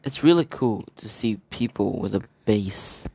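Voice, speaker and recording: A man's voice: on the low side, animated, unhurried, creaky, mellow, breathy edge, dry recording. Goofy man rambling on a solo podcast. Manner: playful, goofy, jumps between topics. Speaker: podcast host.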